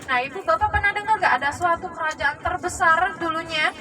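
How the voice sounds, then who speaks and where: A woman's voice: very high voice, a little fast. A young woman from Palembang working as a tour guide, chatting with a pilgrim group on a moving bus and often quizzing them and checking they follow. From Palembang, Indonesia. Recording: distant voice over bus and crowd noise. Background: passengers chatting and road noise.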